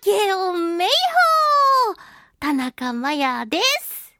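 A woman hosting a solo radio talk show in a casual, playful and theatrical way, giggly and swooping between exclamations and low asides, close on a studio microphone. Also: wildly swooping pitch, takes it slowly, bright, forceful tone, no room sound. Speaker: radio show host, voice actor.

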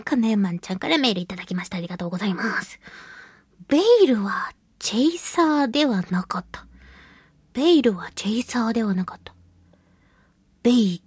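A woman hosting a casual solo radio talk show, reading listener mail in a playful, giggly, cutesy way with a husky, breathy voice. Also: a little high, no room sound. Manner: playful, giggly, slips into cutesy exclamations and quirky old-man phrasing. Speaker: radio show host, voice actress.

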